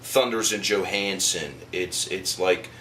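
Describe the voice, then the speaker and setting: A man with a deep voice gives interview answers for a documentary. His delivery is halting yet emphatic, and faint noise sits behind him. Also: fairly even intonation, a bit bright. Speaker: comic book artist.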